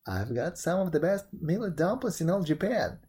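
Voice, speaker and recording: A brave young storybook hero, voiced by a storyteller, confident and plain-spoken. The tone is cheerful and mellow, with lively movement in pitch. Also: moderate pace, slightly rough. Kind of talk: storybook character voice.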